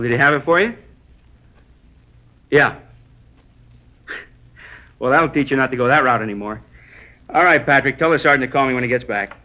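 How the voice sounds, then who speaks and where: A man's voice: medium pitch, bright, forceful tone. A man acting an easygoing juvenile bureau sergeant in a 1950s radio police drama, offhand and wry. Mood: amused. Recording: dull vintage radio recording.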